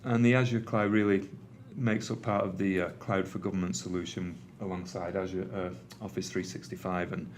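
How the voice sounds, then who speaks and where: Middle-aged man, Manchester accent, low voice, presenting to a room, faint background hum. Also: little pitch movement, normal speed, few pauses. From England. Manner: conversational, with frequent ums and uhs. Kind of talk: conference presentation. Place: meeting room with an audience.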